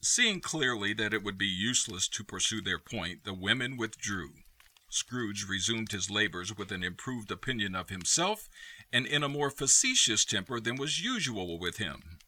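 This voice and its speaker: An actor narrating a classic Christmas tale in a radio play, with measured literary delivery. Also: male voice, fairly low pitch, lively intonation, pushed and bright, no room sound, heavily acted.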